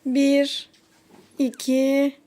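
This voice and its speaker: Woman, very high voice, unhurried delivery, motherly game leader, counting and coaxing, encouraging, playful. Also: completely flat delivery, warm tone. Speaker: mother leading a children's game. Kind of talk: casual family play, counting and coaxing.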